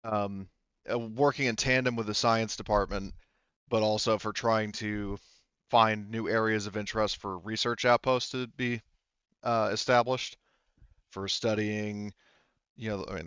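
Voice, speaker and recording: A man voicing a veteran colonial prospector in a tabletop game, casual and forthcoming, explaining in a rambling, halting way. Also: on the low side, a bit bright, clean tone, no room sound, lo-fi sound, natural voice.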